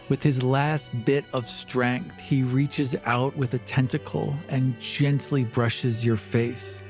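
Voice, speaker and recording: A man narrating a tabletop game as game master, in a measured, portentous storytelling manner. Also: mid-range voice.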